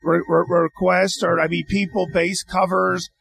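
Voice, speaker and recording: Gravelly, halting male radio host. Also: high-pitched, level tone, fairly bright tone. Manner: halting, rambling questions with many restarts. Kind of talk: interviewing a guest.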